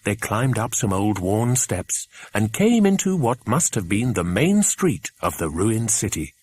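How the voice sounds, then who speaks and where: A man reading a children's adventure audiobook, an expressive scripted narration. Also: fairly low pitch, measured pace, husky.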